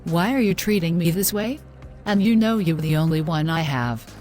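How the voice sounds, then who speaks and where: A female actor plays a devout young girlfriend in distress in a moral-story audio drama. Her phrasing is formal and earnest. The voice is on the low side for a woman, over faint background music. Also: animated, moderate pace, a little mellow, natural voice. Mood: pleading. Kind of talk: scripted moral-story dialogue.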